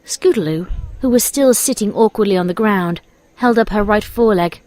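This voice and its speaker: A woman's voice: mid-range voice, normal speed, dry recording, poor audio. A woman narrator reading a scripted story in storybook narration style. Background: soft music.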